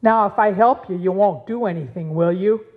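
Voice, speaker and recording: A woman playing a cheerful, dreamy, kind-hearted apple planter in a puppet show, with wondering, drawn-out exclamations. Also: medium pitch, phone-quality sound, voice pushed down, lightly acted. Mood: worried, startled. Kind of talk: puppet show acting with sung passages.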